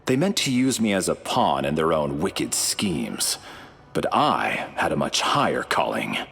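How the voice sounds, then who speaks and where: A man's voice: a bass voice, very animated, measured pace, creaky, a bit bright, exaggerated voice. A man voicing a noble artificial superbeing in a video game, speaking in a solemn, formal, grand manner. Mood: solemn, grateful.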